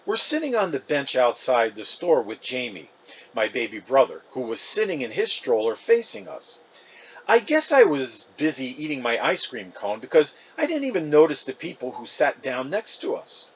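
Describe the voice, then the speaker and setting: A man reading a children's novel aloud as expressive, conversational first-person narration. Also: mid-range voice.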